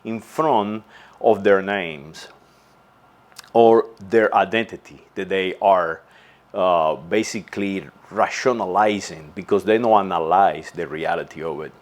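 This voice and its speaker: A man delivering an earnest, insistent monologue as a channel host, often stumbling and repeating words before going on. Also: low voice. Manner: halting, with frequent restarts and repeated words. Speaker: channel host giving a commentary. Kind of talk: unscripted spoken monologue.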